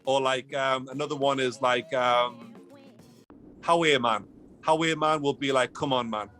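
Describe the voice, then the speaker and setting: A man from Newcastle with a Geordie accent, chatting as a guest on a casual podcast, animated and repeating himself as he talks. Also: mid-range voice, moderate pace, faint music behind. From Newcastle, England.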